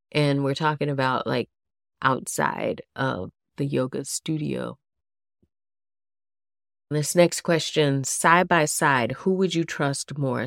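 Congested, nasal woman host, low and gravelly. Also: no room sound, studio quality. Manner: casual, chatty, asks listeners many questions. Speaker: podcast host. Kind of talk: solo podcast talk to yoga teachers.

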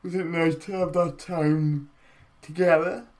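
A man hosting an interview and asking his guest unhurried, drawn-out questions. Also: fairly high pitch, fairly even intonation, a little mellow, poor audio. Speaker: interviewer host.

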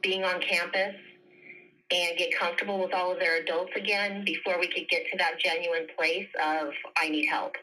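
Female school coordinator in interview, bright and clear-cut tone, a little low, speaking conversationally. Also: fairly even intonation, normal speed, low-quality recording. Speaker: school mental health coordinator.

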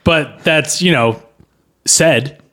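A man's voice: on the high side, very slow, pushed and bright. A man co-hosting a comedy podcast and insistently defending his work in casual banter. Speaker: podcast host and amateur screenwriter. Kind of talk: comedic table read and banter.

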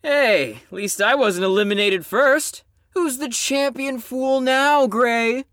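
A woman's voice: a little high, slow, fairly bright tone, dry recording, clean studio sound. A woman playing a cocky, sardonic, competitive character who teases others in an audio drama. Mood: taunting. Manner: teasing, sardonic.

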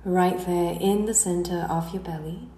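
A woman guiding a meditation in a slow, soothing delivery, letting the ends of her phrases trail away softly. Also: fairly low pitch, fairly even intonation. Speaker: guided meditation instructor. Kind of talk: slow guided relaxation narration. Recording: roomy echo, faint background noise.

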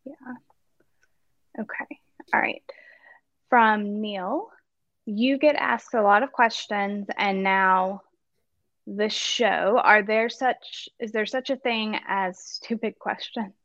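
Slow-reading woman on a dull mic. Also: mid-range voice, a bit bright, dry recording. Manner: reads questions out slowly with a light laugh. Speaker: podcast co-host reading listener questions.

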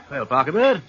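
An actor playing a breezy, flippant aristocratic gentleman detective with an upper-class English accent in a radio drama. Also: fairly high pitch, heavily acted. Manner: jaunty, exclamatory, flippant. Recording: muffled, noisy radio drama recording.

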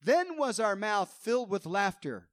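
Man, pastor, fervent sermon, high voice, slightly hoarse, dry close sound. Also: very animated, a little slow, no room sound. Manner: fervent preaching, quoting scripture with rising emphasis.

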